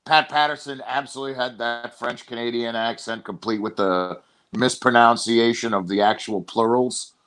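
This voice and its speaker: A man's voice: mid-range voice, fairly even intonation, normal speed, fairly bright tone. A man hosting a casual podcast, rambling through long tangents and swearing freely.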